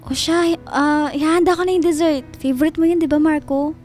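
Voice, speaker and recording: Woman acting high, chirpy family friend. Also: fairly even intonation, brisk, a little mellow, natural voice. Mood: sweet, helpful. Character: chirpy, over-helpful female family friend, ingratiating. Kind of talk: Filipino radio drama acting. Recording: music behind the voice.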